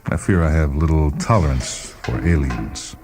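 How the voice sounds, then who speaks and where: A man's voice: very deep voice, expressive, normal speed, over music. A man playing a bitter, haughty male scientist in a radio story, speaking with clipped disdain.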